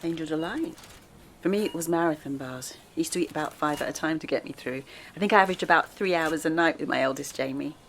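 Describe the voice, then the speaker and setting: An actress playing Alice, a kind and steady mother who speaks in a calm, reassuring, gently persuasive way. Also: deep voice, quick pace, a little hiss or hum. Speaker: stranger who helps. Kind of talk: audio drama acting.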